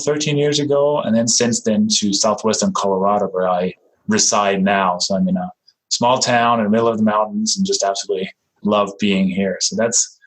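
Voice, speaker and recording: A man in his forties from Denmark with a Danish accent, speaking as a podcast guest in a relaxed, halting way with many fillers and restarts. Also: lowish voice, rough recording. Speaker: guest, real estate investor. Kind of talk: casual interview talk.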